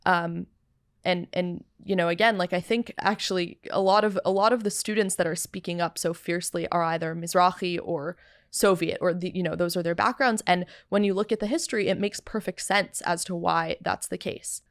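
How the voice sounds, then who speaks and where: A woman's voice: lowish voice, fairly even intonation, moderate pace, no echo. A young woman student speaking seriously and reflectively as a guest in a studio interview. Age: young adult. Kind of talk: conversational interview. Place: dark studio set with sofas. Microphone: studio mic on a boom arm.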